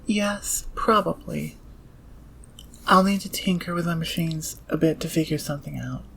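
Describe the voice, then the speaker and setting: A woman's voice: low voice, normal speed, vocal fry, a little hiss or hum, poor audio. A woman playing a blunt, proud, brainy heist planner in an audio roleplay, with a clipped, irritable manner. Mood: grudging, irritated. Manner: scolding, sarcastic, clipped. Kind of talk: ASMR audio roleplay acting.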